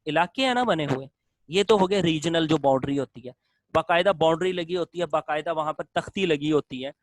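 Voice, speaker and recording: A man's voice: a little high, dry recording. A male lecturer explains a topic in a classroom style, repeating phrases in long lists and mixing in English terms.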